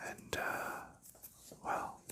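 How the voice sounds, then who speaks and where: A man doing ASMR, whispering through rambling, unhurried chat with soft laughs while he sorts board game pieces. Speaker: ASMR creator.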